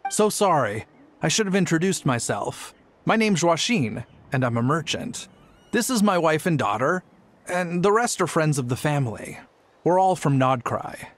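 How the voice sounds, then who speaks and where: A man's voice: on the high side, big pitch swings, moderate pace. An actor playing a polite, earnest travelling merchant and family man in a video game. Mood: earnest, explaining.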